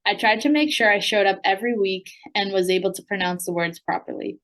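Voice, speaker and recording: An American woman, a program alumna and language coach, reading a prepared speech in an earnest, steady way. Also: mid-range voice, brisk. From United States.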